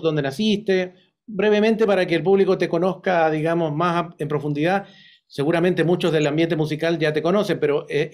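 A Chilean man with a slightly high voice hosts an interview on a video call. He talks conversationally and haltingly, wandering into asides as he goes. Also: a little mellow. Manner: halting, digressive. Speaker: interview host. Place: indoor room on a video call.

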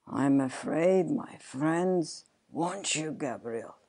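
Woman acting a low, stern, grinning goblin. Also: expressive, warm tone, full character voice. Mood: mocking menace. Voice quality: deep. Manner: stern, slow, deliberate questioning. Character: stern grinning unearthly male goblin, taunting and menacing. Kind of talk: dramatic storybook reading.

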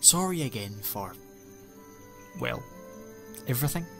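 A man's voice: medium pitch, wildly swooping pitch, unhurried, creaky, fairly bright tone, full character voice. An actor playing an upbeat, eccentric male time-traveller who speaks lightly, in an amateur audio drama. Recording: reverberant hall with music.

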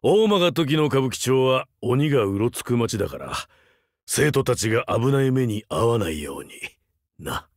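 A male actor playing a rugged older vampire hunter turned teacher in a game, wry, laconic and gruffly fatherly. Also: medium pitch, sing-song, normal speed, dry recording, clean studio sound. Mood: gently weary. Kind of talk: Japanese mobile game character voice lines.

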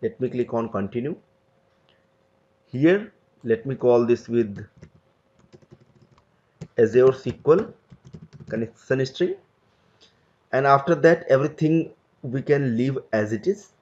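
A man explaining a cloud software tutorial step by step over a screen recording, in loose, conversational phrasing. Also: medium pitch, takes it slowly, pauses often, dull audio. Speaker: tutorial instructor.

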